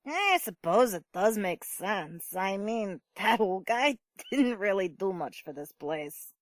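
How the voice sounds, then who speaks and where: A woman's voice: medium pitch, very animated, slow, dry recording, clean studio sound. A woman playing a nosy, teasing older neighbour in an amateur audio drama, casual and insinuating.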